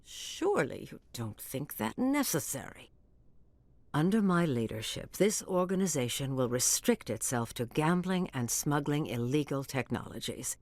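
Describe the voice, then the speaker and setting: Low-pitched, calculating crime boss. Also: female voice, big pitch swings, darkish tone, dry recording. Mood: calculating, threatening. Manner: formal, measured, smooth-talking and veiled in threat. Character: cool, calculating female crime syndicate boss.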